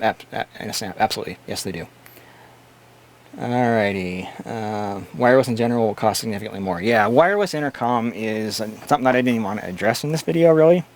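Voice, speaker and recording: A man's voice: lowish voice, a little hiss or hum. A man hosting a casual tech livestream, answering chat questions and explaining things in a blunt, opinionated way.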